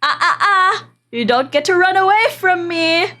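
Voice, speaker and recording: Visual novel villain: regal, taunting puppet master, played by a woman, raised pitch, light music behind. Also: lively intonation, moderate pace, pushed and bright, heavily acted. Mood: gleeful taunting. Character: regal, coolly menacing male puppeteer maestro, gloating.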